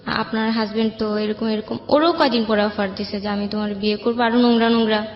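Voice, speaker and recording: A Bangladeshi woman recounts her own life as a guest in an interview. Her voice sits a little high and she speaks colloquially. The recording is echoey and dull, with music under it. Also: steady tone, fast, slight background noise. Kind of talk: personal life storytelling, conversational. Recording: echoey room, dull sound, faint music.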